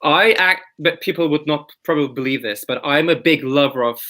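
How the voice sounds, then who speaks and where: A man speaks on a video call with a bright, pushing voice. He talks with enthusiasm in fast bursts and restarts his sentences. It is a casual panel chat. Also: fairly high pitch. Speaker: panel guest.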